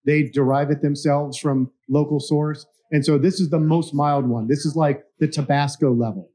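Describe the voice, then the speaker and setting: A man moderating a panel hot sauce challenge, briskly and informally explaining each sauce. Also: on the high side, fairly even intonation, dark tone.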